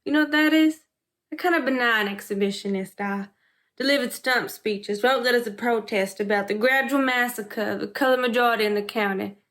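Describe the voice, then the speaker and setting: An actress as a worldly, disillusioned woman who once was a crusader. Her voice sits fairly high, and she delivers a stage monologue with wry, rueful deliberation. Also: brisk, a bit bright, natural voice. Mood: wry recollection. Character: disillusioned female former reformer, wry, worldly, confessing.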